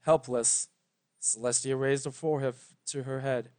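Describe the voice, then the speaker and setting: A narrator reading a dramatic story in a measured, solemn manner, pausing between phrases. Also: medium pitch, fairly even intonation, darkish tone, dry recording. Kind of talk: scripted dramatic fan fiction narration.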